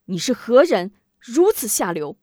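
A woman voices a young male hero, enraged and defiant, shouting his curses. The pitch swings widely. The sound is dry and close. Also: big pitch swings, slow, no room sound. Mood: outraged.

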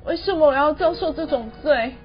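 A woman's voice: sits high, a little fast, natural voice. A teenage girl playing a proud, emotional young city wife who feels wronged, in an amateur radio drama with melodramatic delivery. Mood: despairing. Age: teens. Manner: melodramatic, code-switching English words into speech. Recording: muffled lo-fi recording with background music.

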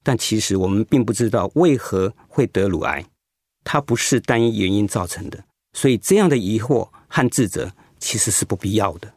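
A man acting in a radio drama as a gentle, sympathetic doctor who explains and consoles in a measured way. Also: on the low side, expressive, breathy edge, no room sound, studio quality. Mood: consoling. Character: gentle male doctor, calm, sympathetic hospital director.

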